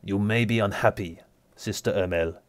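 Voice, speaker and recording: A man voicing a stern aristocratic lord who passes judgment in a measured, authoritative way. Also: on the low side, dry recording, close to an everyday voice. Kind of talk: tabletop roleplay character voice.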